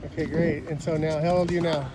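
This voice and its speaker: A man interviewing a young player at an outdoor tennis event, loud and upbeat with short affirmations. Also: on the high side, level tone, fast, crowd noise. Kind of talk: courtside interview.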